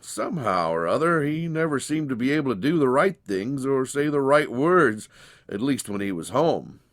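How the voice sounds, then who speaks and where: A man's voice: mid-range voice, sing-song, moderate pace, dry recording, studio quality. A man narrating a dramatized story in a folksy, conversational way. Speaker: narrator, audiobook reader.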